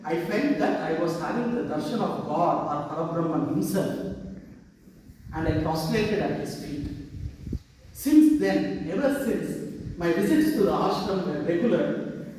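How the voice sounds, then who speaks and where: High-pitched South Indian man in echoing hall. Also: a little mellow, slight background noise. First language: Tamil. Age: middle-aged. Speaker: devotee giving a memorial talk. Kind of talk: prepared devotional speech read aloud. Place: large reverberant ashram hall. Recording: very echoey hall, distant sound.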